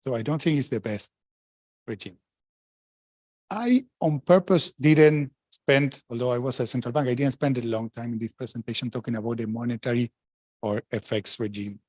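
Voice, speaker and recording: A middle-aged man from Argentina, speaking as a former central banker on a discussion panel. He talks frankly and emphatically, breaks off to restart his sentences, and throws in asides. His voice sits at a medium pitch, and the recording is dry and close. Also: normal speed, a little mellow, dry recording. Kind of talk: panel talk answering questions.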